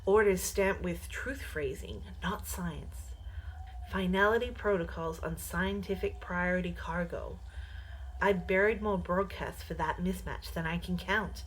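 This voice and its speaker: A woman acting a tired, sharp-minded former broadcaster in a sci-fi audio drama, measured and reflective. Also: lowish voice, a little hiss or hum, lightly acted, poor audio. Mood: suspicious.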